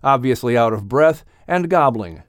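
A man narrating a children's audiobook in an expressive scripted storytelling style. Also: medium pitch, moderate pace, dry recording.

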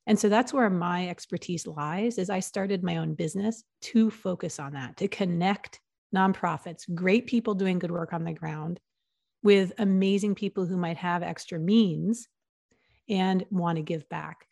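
A woman's voice: lowish voice, a little slow, gravelly, a little mellow, dry recording, studio quality. A woman from the US East Coast in her forties, a podcast guest talking earnestly and upbeat with frequent restarts. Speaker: guest, nonprofit consultant. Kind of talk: podcast interview.

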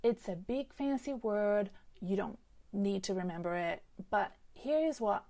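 A woman's voice: medium pitch, expressive, a little slow, warm tone. A woman who speaks English as a second language with an accent, hosting a solo podcast about careers in a chatty way full of fillers and restarts. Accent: non-native English. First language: not English. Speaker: career coach podcast host. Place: home setup with a desk microphone.

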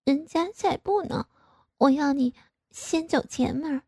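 Pouty coy young wife, voice pitched up high, wheedling, woman acting it. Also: a little slow, vocal fry, dark tone, no room sound, studio quality. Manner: pouting, wheedling.

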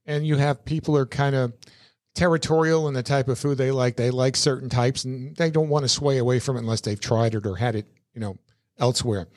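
A man hosting a small local podcast, talking in a friendly, rambling way and doubling back on his words. His voice sits at a middling pitch and he chats easily with his guest. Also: fairly even intonation, a little fast, darkish tone, no echo, clean studio sound. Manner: chatty, folksy, repeats words while thinking. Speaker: podcast host. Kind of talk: local business interview. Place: podcast studio inside a shopping mall.

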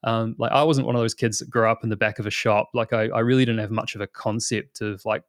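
A man in his thirties with a New Zealand accent talks as an interview guest in a loose, rambling way, with lots of fillers and restarts. His voice sits fairly low. The recording is dry and close, with no room sound. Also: little pitch movement, quick pace, no echo. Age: 30s. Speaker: guest, product manager. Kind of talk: casual interview talk.

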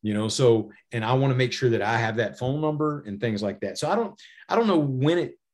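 A middle-aged American man speaks as an interview guest. His voice sits at an average male pitch, and he explains his points in a conversational, anecdotal way, often punctuated with "you know". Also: lively intonation, fairly quick, darkish tone. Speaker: podcast guest, safety author and former federal air marshal.

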